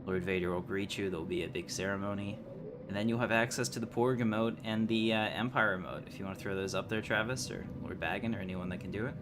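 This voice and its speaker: A man with a fairly low voice chats through a game livestream. He rambles easily and conversationally, with clear noise and game ambience behind him. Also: steady tone, fast. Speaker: game streamer, host. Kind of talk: casual livestream chat with game commentary.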